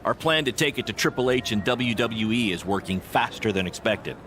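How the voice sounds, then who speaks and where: A man's voice: lowish voice, lively intonation, fairly quick, fairly bright tone, slight background noise. An actor playing a self-assured, flippant wrestling promoter with a glib salesman's patter in a video game cutscene. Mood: confident, teasing. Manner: glib, upbeat salesman-like delivery. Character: fast-talking, self-assured small-time male wrestling promoter, cheerfully flippant.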